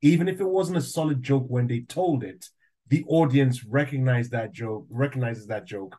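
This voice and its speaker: Upbeat interviewer, grew up in Nigeria. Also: male voice, medium pitch, normal speed, dark tone. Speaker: interviewer, aspiring comedian. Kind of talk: casual conversational interview.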